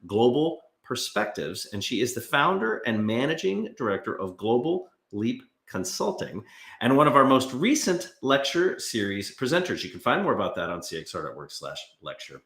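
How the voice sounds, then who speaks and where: A man hosting a podcast interview, introducing his guest and asking questions with an enthusiastic manner. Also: mid-range voice. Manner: enthusiastic, lively delivery. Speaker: podcast host. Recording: roomy echo.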